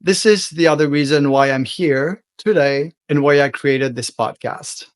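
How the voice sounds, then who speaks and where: A French Canadian man, a native French speaker, hosting his own podcast in an earnest, heartfelt monologue that slows down for emphasis. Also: a little high, dry recording, studio quality. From Quebec, Canada. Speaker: podcast host.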